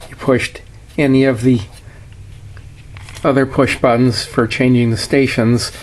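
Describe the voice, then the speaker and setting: A man with a mid-range voice talks at a slow pace with very little rise and fall. He explains things in a plainspoken, matter-of-fact way, as in an informal hands-on product demonstration. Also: medium pitch, level tone, low-quality recording. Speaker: seller demonstrating a vintage radio. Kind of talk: casual product walkthrough.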